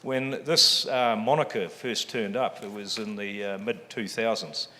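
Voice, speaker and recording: A man's voice: lowish voice, fairly even intonation, a little slow, pushed and bright, a little hiss or hum. A man with a New Zealand accent speaking on a panel, joking and self-deprecating, with plenty of ums. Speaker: panelist, fund chief executive. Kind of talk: public forum talk. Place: large event hall. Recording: long hall reverb.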